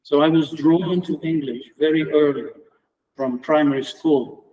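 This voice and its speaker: A middle-aged man from Romania with a Romanian accent, a native Romanian speaker giving a reflective memorial tribute as an English department lecturer, measured and halting with restarts. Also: a little high, steady tone, warm tone, distant mic, lo-fi sound. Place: lecture room at a memorial round table.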